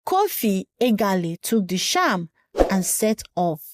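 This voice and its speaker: A woman narrating a scripted folktale in a measured, dramatic storytelling manner. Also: medium pitch, sing-song, noisy background.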